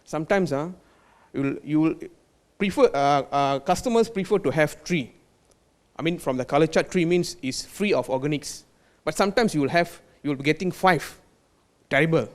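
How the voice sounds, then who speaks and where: Malaysian male presenter, fairly high voice, hesitant, lots of fillers, technical talk. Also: moderate pace. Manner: hesitant, many fillers and restarts; mixes in Malay words. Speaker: quality control manager.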